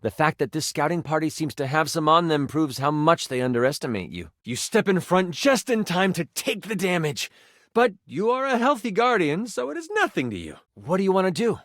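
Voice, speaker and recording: A man's voice: a little high, very animated, fairly quick, fairly bright tone, dry recording, clean studio sound. An actor playing a game master, a man narrating a fantasy quest in a theatrical, suspenseful and emphatic way. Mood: dramatic.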